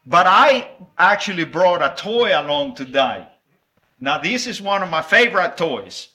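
Bright-voiced preacher. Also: male voice, high-pitched. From El Salvador. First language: Spanish. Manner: warm, animated and playful with children, with halting restarts. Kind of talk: sermon with a children's object lesson.

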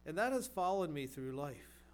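A man's voice: on the high side, very animated, normal speed, slight background noise. A middle-aged man preaching a sermon, earnest, asking rhetorical questions and often repeating words as he goes.

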